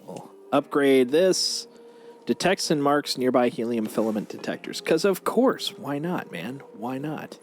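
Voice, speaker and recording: Playful male streamer with an animated voice. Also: mid-range voice, measured pace, a little hiss or hum. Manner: playful, banters and mock-pleads. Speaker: live streamer playing a shooter game. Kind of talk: casual gameplay chat with friends.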